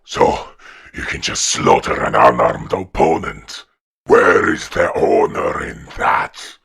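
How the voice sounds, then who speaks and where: A man playing a bloodthirsty, vengeful giant warrior in a fantasy audio drama, with a deep, raspy growl. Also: animated, takes it slowly, fairly bright tone, full character voice. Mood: shocked, angry.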